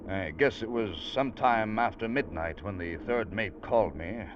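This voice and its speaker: A man's voice: fairly low pitch, normal speed, noisy background, close to an everyday voice. An actor playing an earnest ship's officer, telling his story in dramatic 1940s radio drama style. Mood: reflective. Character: earnest ship's officer recounting a harrowing sea rescue. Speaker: first-person narrator. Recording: dull vintage radio recording with loud music.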